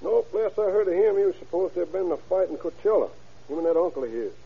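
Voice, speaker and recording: Folksy, higher-voiced local man. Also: quick pace, vocal fry, mellow, husky, exaggerated voice. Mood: easygoing. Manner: casual, colloquial. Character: easygoing, folksy local man being questioned. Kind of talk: old radio crime drama acting. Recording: muffled, noisy vintage radio with hum.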